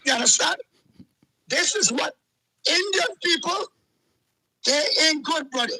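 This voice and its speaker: A man's voice: very high voice, animated, measured pace, noisy background. A man, calling in to a show and ranting heatedly, repeating himself. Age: 40s. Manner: agitated, repetitive, keeps saying "let me tell you something". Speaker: caller. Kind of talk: heated call-in rant.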